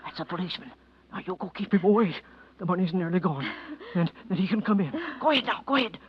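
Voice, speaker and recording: Vintage American radio drama with dull, lo-fi sound. a miserly working-class husband, nervous and rough-spoken. His pitch swings widely as he stammers through ungrammatical lines. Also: lively intonation, fast, noisy background. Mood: panicked, hushed. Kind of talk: 1940s-50s American radio drama acting.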